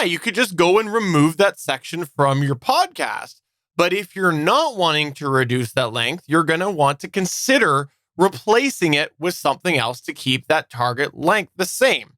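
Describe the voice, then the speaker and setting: A man's voice: high-pitched, fairly bright tone, no room sound, clean studio sound. A man co-hosting a podcast, explaining advice in a semi-scripted, instructional style. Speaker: podcast co-host.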